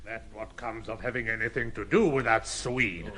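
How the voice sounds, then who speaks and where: A man's voice: on the low side, normal speed, sharp tone, breathy edge. An actor playing a gloating, spiteful male hotel keeper who sneers and gossips in an audio drama. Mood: grim spite. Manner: sneering, punctuated by laughter.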